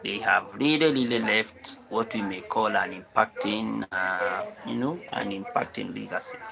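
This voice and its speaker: Kenyan man chatting live, gravelly and low. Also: measured pace, a crowd in the background, low-quality recording. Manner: repeats phrases, reads out and answers viewer comments. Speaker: live stream host. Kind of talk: casual live chat with viewers.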